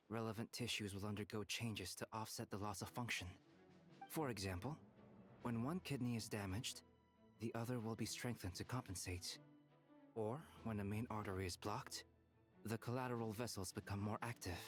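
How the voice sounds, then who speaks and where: A man's voice: lowish voice, normal speed, soft background music, natural voice. A man voicing a scholarly male expert in a game who explains things like a lecture. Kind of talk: game voice acting.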